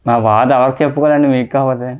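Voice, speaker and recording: A man's voice: mid-range voice, steady tone, rapid-fire, clean tone, natural voice. A man playing a drunk, lovesick young secret admirer on a prank call, politely pleading through a muffled voice. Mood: pleading, hurt. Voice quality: muffled by cloth held over mouth.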